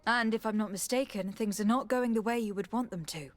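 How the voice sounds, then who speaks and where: A woman's voice: mid-range voice, brisk, no room sound, lightly acted. An actress playing a composed, diplomatic noblewoman envoy in a video game, formal and polite. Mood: calm, probing. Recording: dry voice, faint game music. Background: faint music.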